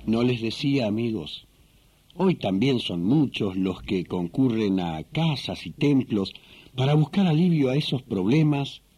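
Narrator: man, unhurried and didactic, mellow husky tone, scripted religious narration with music behind. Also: mid-range voice. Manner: measured, didactic.

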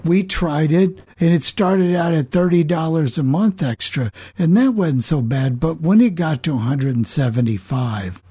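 A man hosting an informal group discussion in a measured, matter-of-fact way. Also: on the high side, expressive, warm tone, husky. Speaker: host, radio station operator.

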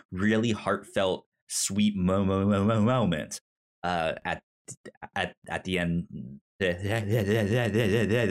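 A man co-hosting a podcast, chatting casually about film with a frequent stammer, repeating syllables and searching for words. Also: low voice. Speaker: podcast co-host.

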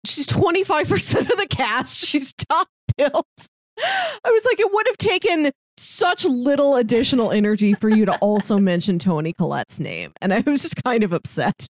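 A woman's voice: sits high, very animated, normal speed, no room sound, clean studio sound. A woman co-hosting a film podcast in casual conversation. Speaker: film review podcast co-host. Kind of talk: casual chat.

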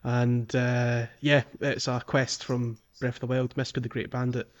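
A man with a mid-range voice co-hosts a gaming podcast. He talks casually and explains things haltingly, restarting his sentences as he goes. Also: mid-range voice, level tone, dull audio. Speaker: podcast co-host. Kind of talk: casual chat about a video game.

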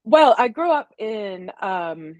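A woman from the American South, a guest speaking casually and thoughtfully in an interview, pausing with fillers and restarts. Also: mid-range voice, sing-song, darkish tone, dry recording. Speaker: guest historian.

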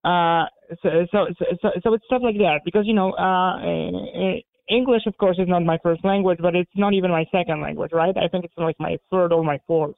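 A man from Poland whose first language is Polish, talking as an interview guest in a halting way, with many repeated words and restarts. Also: sits high, steady tone, creaky, no echo. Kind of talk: casual interview talk. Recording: phone-like narrow band.

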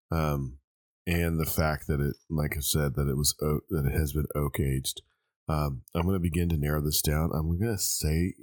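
A congested man hosting a casual blind liquor tasting, thinking aloud with many pauses. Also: rumbling low, dry recording, studio quality.

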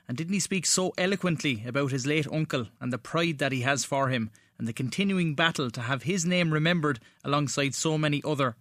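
Irish man, radio host, scripted links, formal and deliberate, fairly high voice, dry studio sound. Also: a bit bright, no echo. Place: radio programme recording.

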